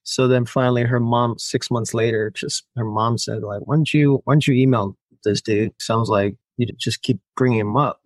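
Finnish man, native Finnish speaker, animated podcast guest, mid-pitched voice, dry recording. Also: moderate pace, hardly any pauses, dry recording. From Finland. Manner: animated storyteller who acts out quoted lines and laughs often. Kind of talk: casual storytelling interview.

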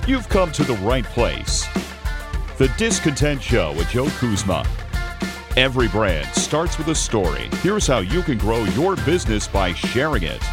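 A man's voice: medium pitch, big pitch swings, unhurried. A man reading a scripted show intro in a polished announcer delivery. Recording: voice over guitar music.

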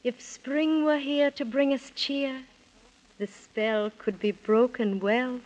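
Slow, stately fairy queen. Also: high-pitched, fairly even intonation, darkish tone. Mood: solemn. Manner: stately, measured rhyming speech. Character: gentle, solemn fairy queen speaking in verse. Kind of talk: 1930s children's radio serial acting. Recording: muffled old 1930s radio recording.

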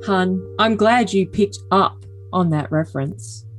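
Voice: medium pitch, slow pace, faint music behind, close to an everyday voice. A performer playing a doting young boyfriend, earnest and stilted, in an overly dramatic reading of text messages. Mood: coy, doting.

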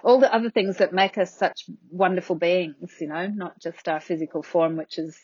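A woman's voice: lowish voice, steady tone, moderate pace, darkish tone, slightly rough, dry recording, lo-fi sound. Chatty fifties woman, dry sound. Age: 50s. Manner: chatty, laughs often, irreverent. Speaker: interview guest, yoga business founder. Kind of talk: casual conversation.

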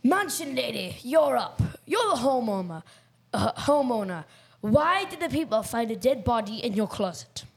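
A woman's voice: fairly high pitch, sing-song, normal speed, creaky, slightly rough, heavily acted. A young girl playing a bossy, suspicious police detective who questions bluntly in an amateur radio play. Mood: commanding. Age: child or teenager.

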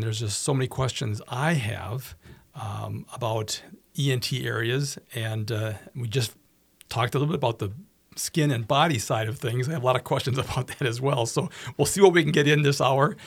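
Gravelly-voiced radio host man. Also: mid-range voice, dry recording. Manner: upbeat, reads phone numbers slowly. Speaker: radio talk show host. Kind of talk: call-in radio hosting.

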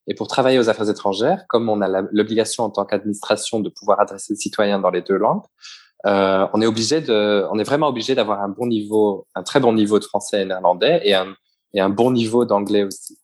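A man's voice: lowish voice, quick pace, a little mellow. A young man with a Belgian French accent, bilingual, answering interview questions about his embassy job at length, with many hesitations and restarts. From Belgium, grew up in Flanders. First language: bilingual French and Dutch. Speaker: embassy staff member, interviewee.

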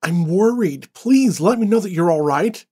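A shrill, anxious young woman in a horror story, pleading one moment and snapping the next, as a male narrator raises his voice to play her. She speaks high and tight. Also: big pitch swings, unhurried, darkish tone, slightly rough, heavily acted. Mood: worried. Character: anxious young woman, worried then furious.

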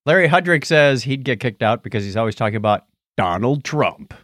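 A man's voice: medium pitch, expressive, a little fast, a bit bright, dry recording, clean studio sound. A man co-hosting a podcast in casual, comedic banter, with a joking, playful manner. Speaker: podcast co-host.

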